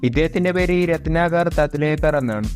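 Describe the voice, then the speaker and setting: A man's voice: on the high side, a little fast, over music. A man reading scripted narration for an online video.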